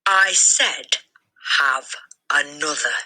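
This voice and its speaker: A tyrannical headmistress from a children's story, as a woman reader acts her out. Her voice is harsh and husky, very forceful, and she stretches out her commands. She is sneering and explosive, a bully through and through. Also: deep voice, lively intonation, exaggerated voice. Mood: sharp, menacing. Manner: commanding, drawn-out, shouted orders. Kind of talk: children's storybook read-aloud, character voice.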